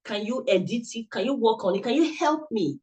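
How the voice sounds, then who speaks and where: A man's voice: extremely high pitch, expressive, fairly quick, a little mellow. A man giving a motivational talk as a presenter at an online summit, earnest and coaching, often repeating himself, speaking into a computer mic on a video call. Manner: earnest, repetitive, coaching directly.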